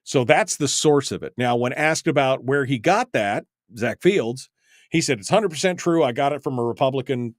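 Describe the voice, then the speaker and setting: Male radio host, freeform commentary, emphatic and rambling, middling pitch, very dry close mic. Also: expressive, moderate pace, no echo. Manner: emphatic, agitated, self-mocking rambling. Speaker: radio call-in show host. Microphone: close studio microphone.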